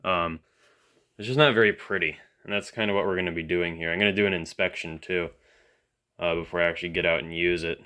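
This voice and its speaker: A man's voice: low-pitched, steady tone, fast, sharp tone. A man giving casual, off-the-cuff hands-on commentary as a hobbyist video host, musing and thinking aloud as he goes.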